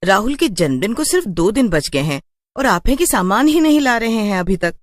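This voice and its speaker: A woman's voice: mid-range voice, very animated, brisk, no echo, studio quality, voice pushed up, natural voice. A woman voicing a nagging, urgent wife and mother in a cartoon horror story. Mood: scolding, impatient. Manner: scolding, urgent, exclamatory. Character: nagging, alarmed young mother and wife ordering husband around.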